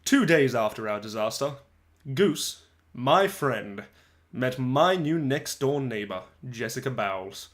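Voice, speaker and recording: Male amateur narrator, medium pitch, expressive children's book reading with deliberate pauses. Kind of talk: dramatic reading of a children's verse novel.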